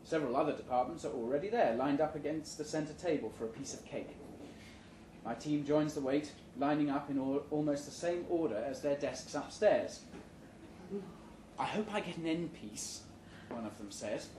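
Male live story reader, slightly high pitch, dry measured narration, echoey indoor venue. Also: warm tone. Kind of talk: live short-story reading, first-person narration. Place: indoor live reading event before an audience. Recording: roomy live venue, background noise.

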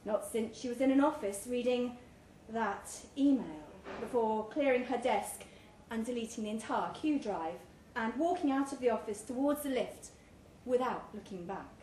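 A woman's voice: a little high, normal speed, mellow. A woman reading a literary short story aloud at a live event. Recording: roomy live recording with clear background noise.

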